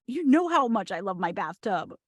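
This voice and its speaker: A woman's voice: medium pitch, big pitch swings, moderate pace, dry recording, studio quality. A woman reading the part of an anxious, sentimental bride in a screenplay table read, plaintive and wry. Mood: wistful, anxious. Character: Lillian, anxious sentimental bride dreading change.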